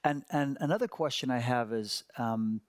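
A man in his fifties interviewing on stage in a conversational, halting way, repeating words as he thinks. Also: medium pitch. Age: 50s. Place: large studio stage, indoors. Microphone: headset.

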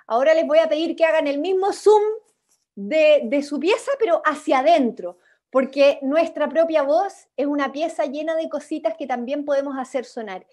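A young Chilean-accented woman with a high-pitched voice leads an online workshop. She is informal and encouraging as she gives instructions over a video call. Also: mellow. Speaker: workshop host. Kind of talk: interactive online creative workshop.

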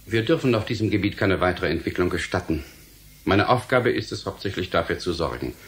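A stern government official in a radio drama. He speaks very low, with formal, measured authority and quiet menace. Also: expressive. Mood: stern, threatening. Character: stern, menacing male government official guarding forbidden science. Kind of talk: German radio drama acting.

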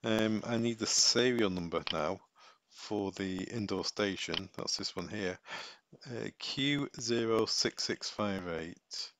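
A man giving a casual step-by-step software tutorial, thinking aloud as he works. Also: on the low side, slow.

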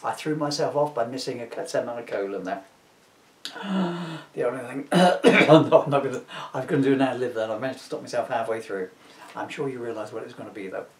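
A middle-aged man narrating a comic storybook at home with casual asides, amused and expressive. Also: medium pitch, brisk. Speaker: author reading aloud. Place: small living room at home. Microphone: camera a few metres away. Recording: roomy, slightly distant camera mic.

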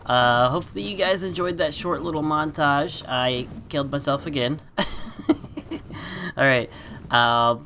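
Excitable gamer guy with a stuffy nose. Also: fairly high pitch, unhurried, long pauses, a little hiss or hum. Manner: chatty, excitable, laughs often. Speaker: gaming channel host. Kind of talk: casual gameplay commentary.

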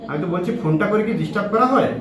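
Fast, higher-voiced young man, echoey. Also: a crowd in the background. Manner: insistent, repetitive. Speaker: prank caller. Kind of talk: casual confrontational phone conversation. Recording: echoey room with background noise.

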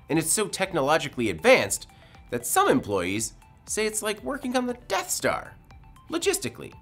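Male news presenter, forties, animated intonation, measured scripted read, faint music bed. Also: high-pitched. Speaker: video news presenter, editorial director. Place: indoor studio.

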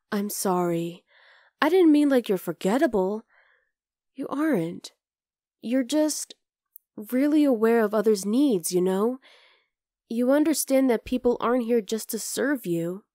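A woman's voice: on the high side, expressive, slow pace, long pauses, dark tone, no room sound, studio quality. A woman voicing a teasing, affectionate young wolf-girl girlfriend in an ASMR roleplay, casual and exasperated but tender. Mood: apologetic, soothing. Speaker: voice actor in audio roleplay. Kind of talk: ASMR roleplay, acted one-sided dialogue.

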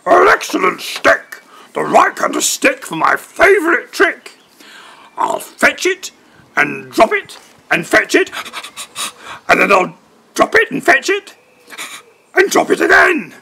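A man voicing an excitable, playful dog in a children's storybook, barking and exclaiming with glee. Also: extremely high pitch, takes it slowly, vocal fry, long pauses, slightly rough, pitched above the performer's own voice, heavily acted. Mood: gleeful, excited. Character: excitable male dog gleeful about fetching a stick.

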